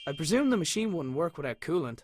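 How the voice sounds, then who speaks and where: A man playing a curious young father searching for his missing dad in an adventure game, narrating in a measured, reflective, understated way. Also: a little high, very animated. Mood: pensive. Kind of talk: reflective scripted game narration in past tense. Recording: voice under loud music. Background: loud game music.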